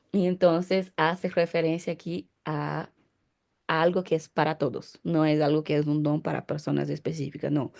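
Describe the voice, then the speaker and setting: A woman's voice: lowish voice, a little mellow. A woman pastor giving a Bible teaching, explaining emphatically and answering her own rhetorical questions.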